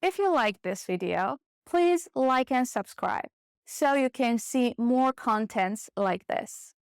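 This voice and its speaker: A woman teaching an online English lesson, explaining slowly, clearly and deliberately. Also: fairly high pitch, expressive, dry recording, studio quality.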